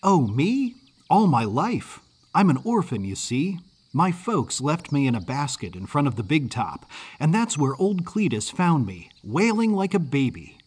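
A man's voice: fairly high pitch, very animated, a little slow, darkish tone, husky, full character voice. A man voicing an exuberant orphan circus boy in an audiobook story, talking in an eager, folksy way with a mid-Atlantic American accent. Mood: cheerful, proud.

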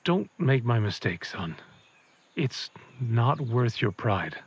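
A slightly dull recording of a middle-aged father, grieving and protective. He speaks slowly in a husky voice, in the manner of a dramatic audio play. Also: medium pitch, very animated, exaggerated voice. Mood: gentle, regretful.

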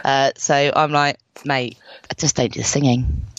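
A woman's voice: rumbling low, flat delivery, normal speed, dry recording, lo-fi sound. A woman with a cold, talking as a podcast co-host in a jokey, chatty way. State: has a cold, voice lower than usual. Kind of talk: casual chat.

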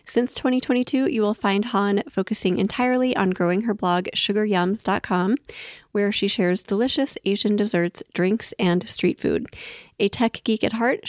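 A woman's voice: medium pitch, no echo. Woman podcast host with close, dry sound. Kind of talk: scripted promo and intro reading, casual interviewing.

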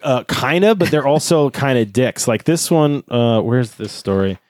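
A man's voice: mid-range voice, animated, normal speed, a little mellow, dry recording, studio quality. A man chatting casually and at length, nerdy and rambling, with fillers and casual swearing. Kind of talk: casual chat.

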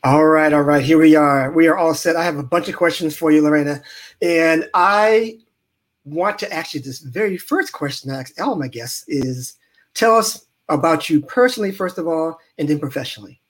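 A man's voice: a little high, normal speed. A man hosting an interview podcast, asking rambling questions in a playful, joking way. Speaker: podcast host.

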